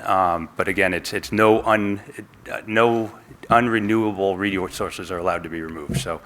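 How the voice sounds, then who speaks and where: A landowner explaining his site plans at a public hearing, halting and full of fillers. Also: lowish voice, fairly bright tone. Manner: halting, many fillers and restarts. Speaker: applicant landowner. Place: town hall meeting room.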